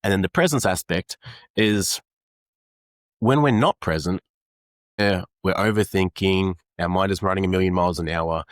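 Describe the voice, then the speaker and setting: A man's voice: sits low, no echo, clean studio sound. An Australian man, a men's coach, explaining ideas earnestly in an interview, with many fillers. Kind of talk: conversational interview.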